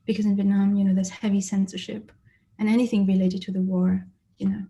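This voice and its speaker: A woman who grew up in Germany, an artist giving a lecture about her exhibition and speaking thoughtfully with frequent pauses and fillers. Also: medium pitch, level tone, mellow. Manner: hesitant, many fillers and restarts, thoughtful. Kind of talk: lecture presentation. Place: lecture or online presentation.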